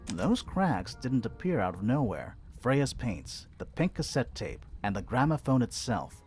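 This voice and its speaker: Animated, swooping male game detective. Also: medium pitch, unhurried. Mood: explaining, intrigued. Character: eager male detective, theatrical, reasoning aloud through clues. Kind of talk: video game voice acting, dialogue. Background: background music.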